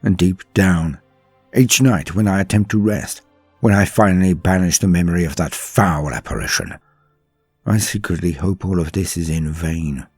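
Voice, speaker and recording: Deep, solemn male storyteller. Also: husky, dry recording. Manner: solemn, theatrical, deliberate pauses. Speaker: storyteller and narrator. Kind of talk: dramatic scripted story narration. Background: faint music.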